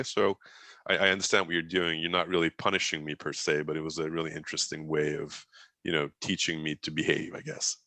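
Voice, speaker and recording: A man's voice: very deep voice, pushed and bright, no room sound. A man in his forties from Turkey, Turkish his first language, recounting his life in a rambling, conversational way with many restarts. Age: 40s. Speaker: guest. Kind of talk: casual interview talk.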